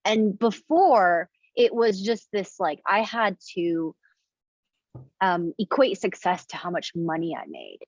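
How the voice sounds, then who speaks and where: A woman's voice: mid-range voice, sing-song, takes it slowly, no echo. Reflective woman, dull lo-fi sound. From Indonesia. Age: 30s. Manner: reflective, many fillers like "like" and restarts. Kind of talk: casual conversation.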